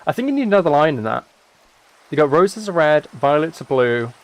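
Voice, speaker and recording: A man's voice: on the high side, sing-song, a little fast. Casually rambling young British man. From United Kingdom. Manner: rambling, thinking aloud. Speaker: live streamer. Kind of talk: casual chat while streaming a game.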